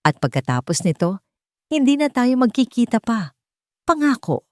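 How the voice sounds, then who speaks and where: A woman's voice: on the high side, very animated, normal speed, no room sound, voice pushed up. Wealthy male boss played by a female narrator, controlled, commanding and icy, romance audiobook, dry sound. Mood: quiet, commanding. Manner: cold, controlled, insistent. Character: cold, commanding wealthy male CEO pressing a deal.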